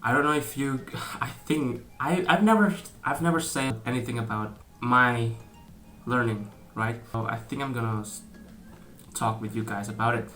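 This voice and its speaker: Young man, Indonesian accent, bright tone, hesitant casual vlog talk, soft music behind. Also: mid-range voice, normal speed. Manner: hesitant, many fillers and restarts, laughs often. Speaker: vlogger.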